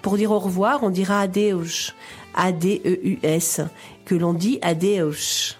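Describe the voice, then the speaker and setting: A woman reading her scripted radio column in a measured, reassuring, explanatory way. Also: on the low side, fairly even intonation, breathy edge, faint music behind.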